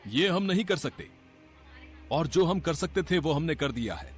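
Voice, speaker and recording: A man's voice: fairly high pitch, lively intonation, normal speed, faint music behind, close to an everyday voice. A man voicing a fussy, officious literary secretary in a cartoon story, speaking in a declamatory, effusive way. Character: pompous, fussy male government literary secretary.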